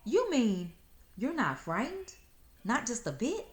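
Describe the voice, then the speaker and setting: A woman's voice: fairly high pitch, sing-song, slow, voice pushed up. A woman voicing a sly, pompous male fox in a storybook, with formal, condescending diction. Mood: shocked. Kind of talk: storybook read-aloud.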